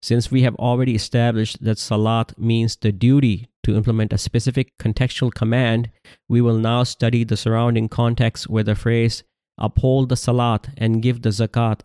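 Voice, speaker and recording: A man whose native language is Urdu gives a scripture study lecture, reading passages aloud and explaining them with many halting fillers and restarts. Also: lowish voice, mellow, smooth, no echo, studio quality. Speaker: religious teacher.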